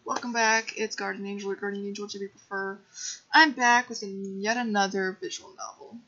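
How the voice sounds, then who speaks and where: A woman's voice: mid-range voice, fairly even intonation, a little fast, pushed and bright. A woman game streamer chatting casually to her viewers in a scattered, self-deprecating way. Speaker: game livestreamer. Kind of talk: casual livestream chat. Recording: dull lo-fi mic, music behind. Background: background music.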